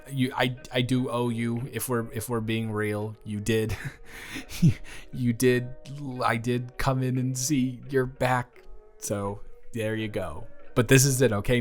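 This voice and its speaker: A man's voice: mid-range voice, lightly acted. A young man playing a shy, eager, awkward college dormmate in a romantic audio roleplay, talking in a hesitant, stammering ramble. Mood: flustered, teasing. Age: young adult. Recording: music playing behind the voice.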